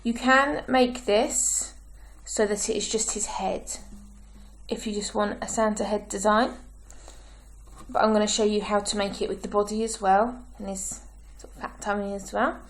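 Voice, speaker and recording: A woman with a croaky throat, giving slow, patient step-by-step craft instructions as a tutorial host. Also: medium pitch, fairly even intonation. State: croaky, clearing her throat.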